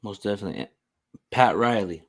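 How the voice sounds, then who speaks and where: A man's voice: lowish voice, fairly quick. A man hosting a sports podcast in a casual interviewing style.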